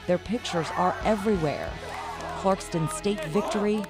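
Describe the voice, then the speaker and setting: A woman TV news reporter reading a scripted story in a measured, steady voice. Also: medium pitch, loud music behind the voice.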